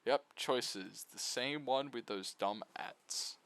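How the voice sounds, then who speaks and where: A man's voice: mid-range voice, slow, gravelly, a bit bright, clear, resonant tone. A man giving a casual video essay review of visual novels, full of flippant, irreverent asides.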